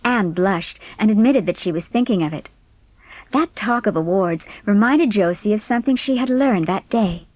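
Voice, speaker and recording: A woman's voice: mid-range voice, moderate pace, a little mellow, no echo. A woman reading a classic novel as an audiobook narrator, in scripted storytelling style.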